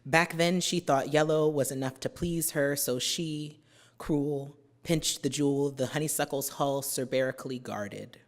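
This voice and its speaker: An American woman from New Jersey giving a poetry reading, chatting through her introductions with many fillers and reading the verse slowly and with care. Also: a bass voice. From New Jersey, USA. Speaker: poet. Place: university symposium venue.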